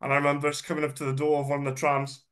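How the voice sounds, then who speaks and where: A man's voice: a little high, completely flat delivery, racing, a bit bright. A man gives a chatty, self-deprecating personal presentation, sounding a bit nasal from a cold and using many ums and uhs. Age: 30s. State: congested, slightly nasal from a cold. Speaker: guest speaker.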